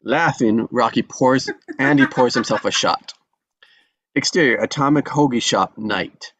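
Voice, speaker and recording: A man's voice: mid-range voice, unhurried, a bit bright. A man reading stage directions as scripted narration at a table read.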